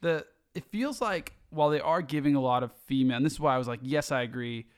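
A man's voice: mid-range voice, quick pace, clear, resonant tone, no echo, studio quality. A man co-hosting a casual film review podcast, blunt and wry, swearing freely as he gives his opinions. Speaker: podcast co-host.

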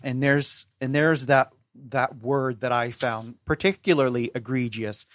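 A man speaking as a podcast guest in a casual book discussion, giving measured, analytical commentary. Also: medium pitch, steady tone, no room sound.

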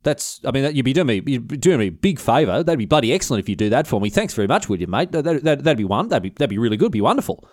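A man's voice: mid-range voice, big pitch swings, very fast, hardly any pauses, a little mellow, dry recording, clean studio sound. A man with an Australian accent voicing a cheeky, brazen noblewoman, breezy and taunting, in a comic history retelling. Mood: feigned delight. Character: cheeky, brazen Scottish noblewoman, gleefully unbothered castle defender.

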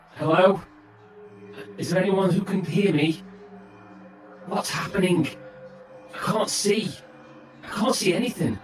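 A distant, echoing, high-pitched actor playing a man lost and frightened in a horror audio drama. He is agitated and disoriented, stammering and pleading as he goes. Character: frightened, disoriented male survivor lost in darkness. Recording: distant echoey space, faint music.

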